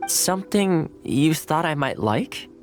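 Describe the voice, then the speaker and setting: An actor voicing a courteous, easygoing young male retainer in game dialogue, polite and gently humorous. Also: a little high, sing-song, slow pace, soft background music. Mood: friendly.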